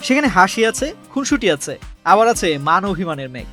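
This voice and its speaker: A man's voice: sits high, very animated, brisk, fairly bright tone, faint music behind. A man with a Bangladeshi accent reading scripted entertainment news as a narrator. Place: voice-over over background music.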